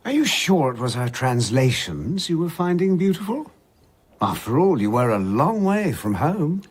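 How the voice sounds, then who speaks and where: An actor playing a sceptical man in a radio drama, dryly probing and wryly disapproving, with a husky voice. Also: on the high side, very animated, normal speed. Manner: dry, questioning.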